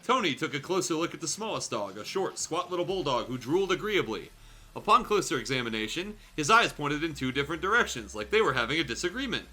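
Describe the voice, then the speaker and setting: Animated, bright-voiced man reading comically. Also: a little high, fast talker, faint music behind. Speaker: guest host reading fan fiction. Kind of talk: casual comedic storybook reading with asides.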